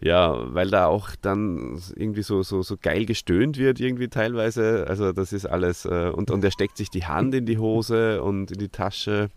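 A man with a lowish voice hosts a casual podcast, recorded close and dry. He explains in a halting, conversational way, repeating words as he goes. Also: a little mellow, no room sound. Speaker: podcast host. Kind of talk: casual chat.

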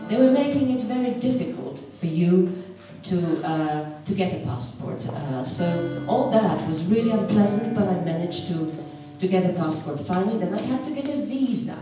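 A middle-aged woman with a Polish accent tells a personal story to an audience, pausing often with fillers. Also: mid-range voice, lively intonation, over music. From Poland. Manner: hesitant, many filler pauses. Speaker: storyteller at a community event. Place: large wooden hall with stage. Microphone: handheld mic, heard from the hall. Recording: echoey hall from far off.

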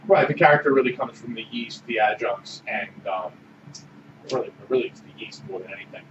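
A man chats about a beer on a podcast, reflective and animated. He is picked up in a roomy space from some distance away. A clear, steady hiss sits under a dull recording. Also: on the low side, brisk. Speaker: podcast co-host. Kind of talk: casual beer-tasting chat. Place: small room with orange walls. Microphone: desk mic on boom arm.

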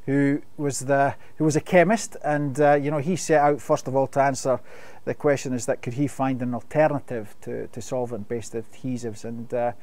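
Brief: middle-aged Scottish man, webinar guest, halting, filler-heavy delivery, dead dry sound. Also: medium pitch, steady tone, a little mellow, no room sound. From Scotland. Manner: measured, many fillers and restarts. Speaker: company sustainability expert. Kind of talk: webinar Q&A answers.

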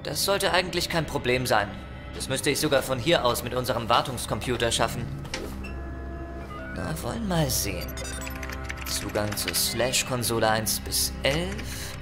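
A man's voice: medium pitch, sing-song, normal speed, over music, natural voice. Easygoing male spaceship tech played by a man. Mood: confident concentration. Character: easygoing male ship's computer technician and hacker. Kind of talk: audio drama acting in a sci-fi thriller.

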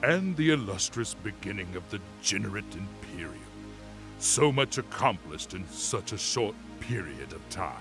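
A scheming alien lord commander, played by a man for a motion comic, haughty and savoring every threat. His voice sits low but rises and falls dramatically. Faint music plays behind him. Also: very animated, measured pace. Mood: triumphant. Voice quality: haughty, relishing menace. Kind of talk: fan motion comic voice acting.

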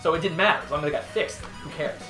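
A man's voice: fairly high pitch, rapid-fire. A young man from Wisconsin co-hosting a gaming show, telling long rambling stories with plenty of swearing and restarts. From Wisconsin, USA. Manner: rambling storyteller, swears freely, restarts often. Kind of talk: casual commentary chat over gameplay. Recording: roomy recording with game music behind.

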